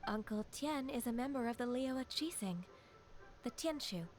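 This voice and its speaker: A woman voicing a gentle, formal young secretary in a game, who talks in a soft, polite and hesitant way. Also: on the high side, lively intonation, normal speed, natural voice. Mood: earnest. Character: gentle, formal half-divine young secretary, unsure of herself.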